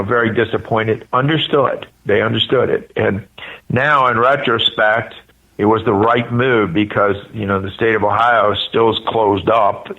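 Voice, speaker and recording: Older American male, event spokesperson in interview, creaky delivery, earnest and hesitant, narrow telephone sound. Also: fairly low pitch. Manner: halting, earnest. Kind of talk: live phone interview on a radio show. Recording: tinny narrow phone line.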